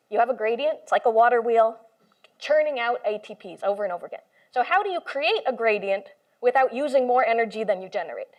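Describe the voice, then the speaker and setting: A woman computational biologist giving an informal lecture to a live audience in a room, speaking with enthusiasm and explaining with everyday comparisons. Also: a little high. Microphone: handheld or stand mic, moved closer at one point.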